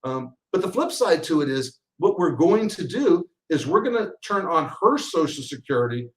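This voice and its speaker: A man's voice: a little high, sing-song, normal speed. Chatty older man explaining finances. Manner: chatty, explanatory, often restarts phrases. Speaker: financial advisor. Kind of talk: explaining slides in a webinar.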